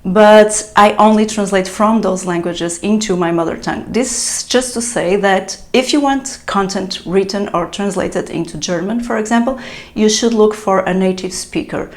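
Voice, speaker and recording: A woman's voice: medium pitch, moderate pace. A woman whose native language is European Portuguese, a translator explaining tips in a casual vlog, with frequent fillers and restarts. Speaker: translator giving business advice. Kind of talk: solo talking-to-camera vlog.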